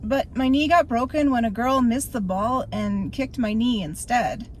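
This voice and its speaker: A shivering young girl from a ghost story, quiet and a little eerie, played by a woman. Her voice is high and slips into a breathy whisper. A faint noise hiss runs underneath. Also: a little slow, close to an everyday voice, poor audio. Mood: timid. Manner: whispery, hushed.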